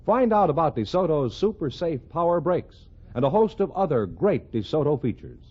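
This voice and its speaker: Husky, lively American radio ad announcer man. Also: fairly high pitch, moderate pace. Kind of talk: 1950s radio advertising read. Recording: dull vintage radio broadcast recording.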